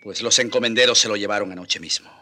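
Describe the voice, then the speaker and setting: An actor playing a grave, compassionate Spanish friar in a radio drama, solemn and often dropping to a murmur. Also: male voice, fairly low pitch, quick pace, bright, forceful tone. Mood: sorrowful, weary.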